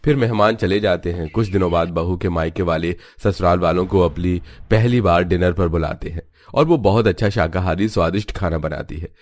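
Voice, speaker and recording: Guy narrating a scripted story, lowish voice, music behind. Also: brisk, few pauses, darkish tone.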